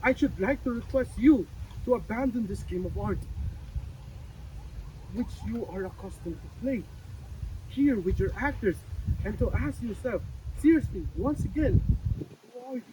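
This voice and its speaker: A man's voice: high voice, animated, moderate pace, pauses often, warm tone. An actor in a dramatic play reading, playing an earnest, philosophical man with a formal, emphatic, argumentative manner. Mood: insistent, challenging. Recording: distant mic, faint background noise.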